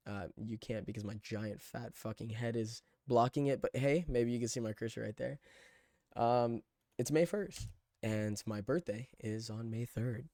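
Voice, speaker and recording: A young man of twenty talks in a casual, wandering way, like someone vlogging over a screen share. His pitch sits in the middle range for a man. He is self-deprecating and drifts off on side thoughts, recorded dry with very little room sound. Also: warm tone, no room sound. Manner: self-deprecating, loose and digressive. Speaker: vlogger documenting a personal challenge.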